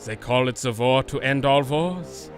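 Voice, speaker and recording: A man's voice: mid-range voice, measured pace, faint music behind, lightly acted. A man playing a grandiose, vengeful baron, theatrical and menacing, in a pulp adventure drama.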